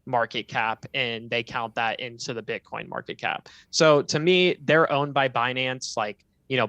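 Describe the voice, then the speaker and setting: Blunt opinionated guy co-hosting a stream, bright voice, dead dry sound. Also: medium pitch, no echo. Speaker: livestream co-host. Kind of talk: casual livestream chat.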